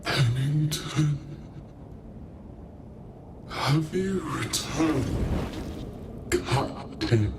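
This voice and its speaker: A tormented spirit in chains, speaks at a crawl in a dramatic game style. The voice is fairly high for a male, imploring yet commanding, and rings out from a distance in long reverb under loud music. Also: noisy background. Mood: pleading. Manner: slow, drawn-out, imploring and commanding. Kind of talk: dramatic video game acting.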